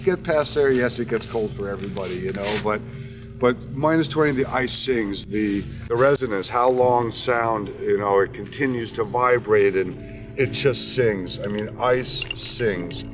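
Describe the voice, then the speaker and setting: An American man, an ice carver, speaking in a relaxed documentary interview outdoors in the Arctic. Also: lowish voice, measured pace. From Connecticut, United States. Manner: relaxed, thoughtful, with many fillers. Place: outdoors in the Arctic, on a ship deck. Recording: music playing behind.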